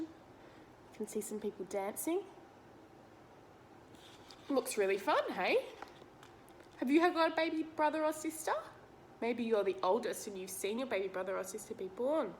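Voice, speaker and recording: An Australian woman with a slightly high voice reads a picture book aloud to young children. She is expressive and speaks straight to her listeners, explaining things simply. There is faint noise in the recording. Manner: expressive, questioning, addresses young listeners directly.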